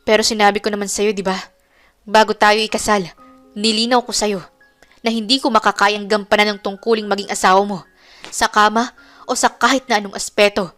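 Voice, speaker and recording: A woman's voice: medium pitch, level tone, fairly bright tone, soft background music, natural voice. Woman voicing an earnest, lovelorn young man. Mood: firm, apologetic. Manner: earnest, firm, deliberate. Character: lovelorn, grieving young man, firm and faithful. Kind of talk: Tagalog romance audio drama acting.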